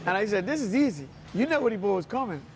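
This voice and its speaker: A man's voice: high-pitched, fast, a little hiss or hum. A man chatting casually as an interview guest, telling anecdotes and repeating phrases for emphasis. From Bermuda. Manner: animated anecdotes, quotes people, repeats phrases. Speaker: interview guest, restaurant owner. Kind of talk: casual storytelling chat.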